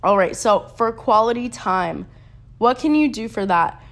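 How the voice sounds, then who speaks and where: A woman's voice: fairly high pitch, expressive, a little slow, a bit bright. A young woman hosting a casual solo podcast while sick and sniffling, chatty and rambling, with slang and laughs at herself. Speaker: podcast host.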